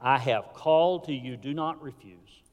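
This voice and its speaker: A man preaching a sermon in a church, swinging from fervent calls to hushed, low warnings. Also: normal speed. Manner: impassioned preaching with sudden drops to a hush. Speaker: pastor. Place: church sanctuary. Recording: hall reverb.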